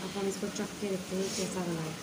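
A woman's voice: mid-range voice, fairly even intonation, brisk, over a noisy crowd, rough recording. A woman in a home kitchen tasting a finished dish and speaking directly to viewers in a friendly, casual way. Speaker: co-host of a home cooking channel. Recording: home video with noticeable background noise.

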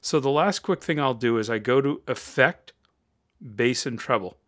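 Deep voiced guy, close mic, upbeat tutorial talk, calls everyone guys. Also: normal speed. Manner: upbeat, encouraging, addresses viewers as guys. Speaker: voice-over tutorial host. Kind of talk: screen-recorded software tutorial. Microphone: close-up microphone.

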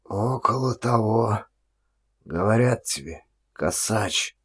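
A man voicing a frail, starved, meek vagrant in an audiobook, with a weak hoarse voice and slow, halting, terse replies. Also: fairly low pitch, expressive, a bit bright, heavily acted. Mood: weary, resigned.

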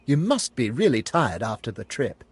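Very husky, friendly cartoon dad. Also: male voice, medium pitch, sing-song, normal speed, dry recording. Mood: mild, pleased. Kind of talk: children's cartoon game acting.

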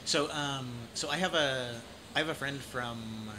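A man in his forties from Idaho with an American accent, chatting casually as an interviewer in a halting, rambling way. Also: medium pitch. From Idaho, United States. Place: café or restaurant at night. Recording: clearly noisy room ambience.

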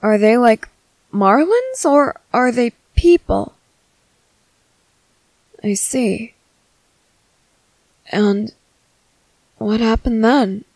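A shy, tender young merboy, acted by a woman for an ASMR roleplay. The voice is high and soft, moving slowly and hesitantly as it soothes the listener. It sounds close and dry, with a caring, worried temper. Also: no echo, exaggerated voice, poor audio. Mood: puzzled, hesitant.

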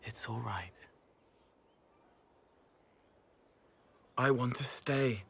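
A man voicing a gentle, haunted young spirit in a video game, speaking in halting, dreamy fragments with a breathy, husky voice. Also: medium pitch, heavily acted. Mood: pained, pleading.

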